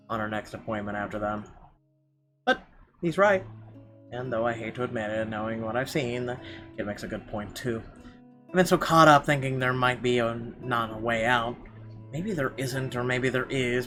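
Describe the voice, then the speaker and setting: A man with a mid-pitched voice reads story narration aloud in an expressive, dramatic way. He stumbles now and then, and guitar music plays clearly behind him. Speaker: gamer reading a visual novel aloud.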